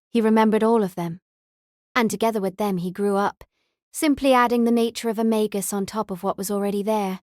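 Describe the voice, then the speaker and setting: Woman, novel narrator, scripted storytelling, medium pitch, no room sound. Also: darkish tone, no room sound, clean studio sound.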